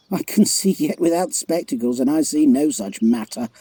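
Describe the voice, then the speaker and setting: An actor playing a witty, scornful bachelor soldier in a Shakespearean audio play, teasing and theatrically mock-pompous. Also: male voice, a little high, animated, moderate pace, vocal fry, warm tone, breathy edge, no room sound, full character voice. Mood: mocking banter. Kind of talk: Shakespearean audio drama acting.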